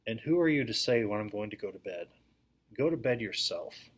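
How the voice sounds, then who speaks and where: A man's voice: fairly low pitch, animated, a little fast. A man reading aloud, voicing a tired, bad-tempered English schoolboy who grumbles and snaps. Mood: grumpy. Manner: grumbling, snappish.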